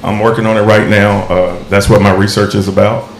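A man, a retired military guest on a podcast panel, speaking earnestly and in a measured way. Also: lowish voice, little pitch movement, soft background music. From Mississippi. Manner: earnest, measured, restarts often. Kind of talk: casual panel discussion. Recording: echoey room, faint background noise.

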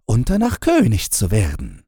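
A man's voice: on the low side, wildly swooping pitch, moderate pace, warm tone, slightly rough, dry recording, clean studio sound, pitched above the performer's own voice, exaggerated voice. A man voicing a poor soldier who muses half in jest in a fairy tale audiobook. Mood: musing, joking. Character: poor wounded soldier, musing, jokingly bold.

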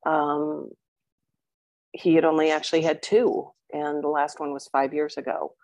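A woman's voice: low-pitched, flat delivery, a little slow. A middle-aged woman talking openly as an interview guest, chatty and candid with frequent ums. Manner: chatty and open, with many ums and warm asides. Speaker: interview guest, coaching client.

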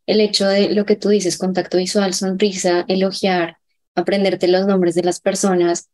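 Female podcast host, friendly, easy laugh, warm and mellow tone. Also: mid-range voice, little pitch movement, moderate pace. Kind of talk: conversational interview.

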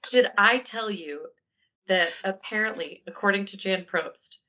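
A woman's voice: unhurried, bright, forceful tone, muffled recording. A woman co-hosting a casual podcast, joking and chatty with a commanding voice, telling a history story. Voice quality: commanding, low-sounding voice. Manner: joking, chatty, says "like" often. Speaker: podcast co-host.

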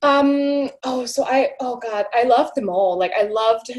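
A young woman from Denmark speaks English in a slightly high, bright voice. She chats in an upbeat, friendly way as a podcast guest, laughing often and using lots of fillers. Her delivery is casual, as if talking with a friend. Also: measured pace. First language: Danish. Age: early 20s. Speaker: guest, YouTuber.

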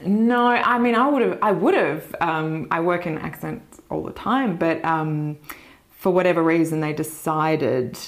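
A woman actress talking as a podcast guest in casual chat, hesitant with fillers and quick to laugh. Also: low-pitched.

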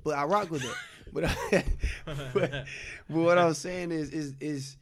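A man's voice: fairly high pitch, measured pace, no echo, studio quality. A man in his twenties, co-hosting a casual group chat and insisting on finishing his point. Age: 20s. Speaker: podcast co-host.

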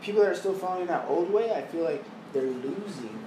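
Rambling young man with a fairly high voice. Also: lively intonation, brisk. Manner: loose, rambling, full of "like" and swearing. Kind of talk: casual chat. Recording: roomy, distant mic with background noise.

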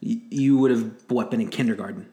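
A man's voice: mid-range voice, a little fast, warm tone. A man, conducting a casual interview and often searching for his words. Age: 20s. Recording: echoey, roomy sound.

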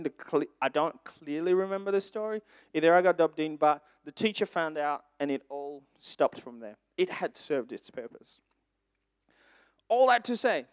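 A man whose first languages are Swahili and French, preaching informally to young people with conversational, animated storytelling and questions to his listeners. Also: a little high, clear, resonant tone, no room sound, studio quality. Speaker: preacher speaking to a youth group. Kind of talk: informal sermon with personal anecdotes.

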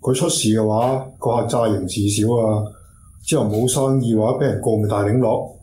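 Casting: male actor as blunt, suspicious Hong Kong Cantonese man, lowish voice, slangy radio play, echoey room. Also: fairly even intonation, moderate pace, mellow, lightly acted. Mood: blunt, probing. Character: blunt, suspicious man checking up on shady jobs. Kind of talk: radio drama acting, colloquial slang. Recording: roomy echo with clear background noise.

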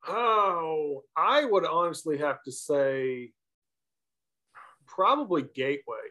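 A man's voice: a little high, animated, slow pace, sharp tone. A man chatting casually as an interview guest, reminiscing in a conversational way. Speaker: interview guest, former baseball player.

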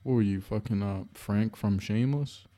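Very dark-voiced man joking crudely. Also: fairly low pitch, moderate pace. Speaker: podcast host. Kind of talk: casual chat.

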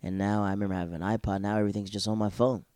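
A young man hosting a solo podcast, chatting casually and rambling off topic with sudden excited outbursts. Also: very fast, smooth, no echo, studio quality. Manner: rambling, easily sidetracked, bursts of excited high-pitched outbursts. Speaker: solo podcast host recapping a TV show.

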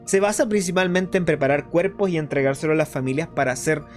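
A man playing an earnest, explanatory host of a clandestine resistance radio show, in a scripted monologue with deliberate phrasing. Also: on the high side, natural voice. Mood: explanatory, intrigued. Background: music behind the voice.

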